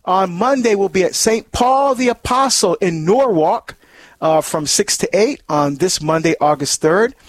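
Brief: male deacon host, from Barbados, high voice, lively and exclamatory, dry studio sound. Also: measured pace, no room sound. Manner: upbeat, animated, fond of exclamations. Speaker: radio show host, deacon.

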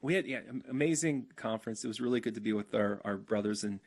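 A man excitedly sharing a faith testimony as a guest, with frequent restarts. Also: lowish voice, fast.

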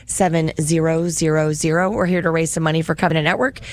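A woman's voice: low-pitched, steady tone, fast. A woman radio host chatting with guests in a live interview and appealing for pledges. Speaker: radio host on a fundraising broadcast.

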